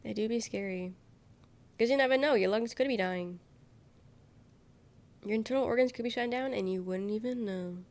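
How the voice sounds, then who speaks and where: A woman's voice: on the high side, normal speed, long pauses, darkish tone. A young woman livestreamer chatting casually with viewers, her voice nasal and worn from being sick, rambling as she reads chat aloud. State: sick, congested voice.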